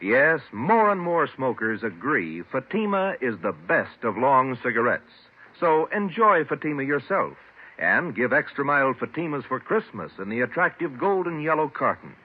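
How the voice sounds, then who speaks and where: A man's voice: mid-range voice, very animated, moderate pace, husky. A man reading commercials as an announcer in the polished sales style of 1940s radio. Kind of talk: 1940s radio advertising read. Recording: tinny old radio recording, music behind.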